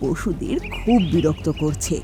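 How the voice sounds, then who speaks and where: A woman narrating a children's cartoon story in an expressive storytelling way. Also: low voice, measured pace. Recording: children's music behind.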